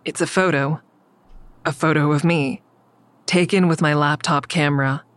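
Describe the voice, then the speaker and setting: A woman's voice: sits low, steady tone, normal speed, dry recording, lightly acted. A woman acting an anxious, secretive character who is in over her head, narrating and speaking in the first person in an audio drama. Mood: tense, dreading.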